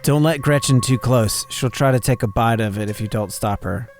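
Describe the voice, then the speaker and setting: A man's voice: medium pitch, moderate pace, darkish tone, faint music behind, pitched below the performer's own voice, lightly acted. A man voicing an earnest young mountain woman who makes monster-hunting videos, in a visual novel game read-aloud. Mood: cautious.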